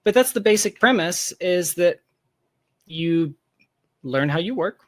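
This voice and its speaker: A man's voice: mid-range voice, no room sound. A man speaking as an interview guest, explaining his work casually and candidly with emphatic, self-deprecating asides. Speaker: interview guest, software founder.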